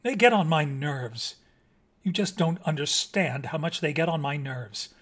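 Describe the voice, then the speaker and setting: A man's voice: a little high, animated, measured pace, a bit bright. A man voicing a vain, irritable mockingbird in a storybook, with a pompous, dramatic delivery. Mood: exasperated. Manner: dramatic, pompous, swooping emphasis.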